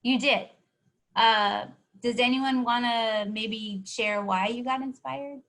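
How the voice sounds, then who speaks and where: A woman with a fairly high voice runs a workshop for students. She explains things patiently and keeps checking in with her listeners. She sounds encouraging throughout. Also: fairly even intonation, takes it slowly, fairly bright tone. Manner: encouraging, keeps asking "right?". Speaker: workshop presenter. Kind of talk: teaching a live online workshop.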